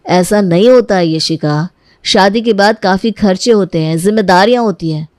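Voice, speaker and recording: A woman's voice: medium pitch, normal speed, a little mellow, no room sound, studio quality, natural voice. A woman voicing an earnest, evasive young man in a cartoon family story. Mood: earnest, firm. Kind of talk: cartoon moral-story dialogue.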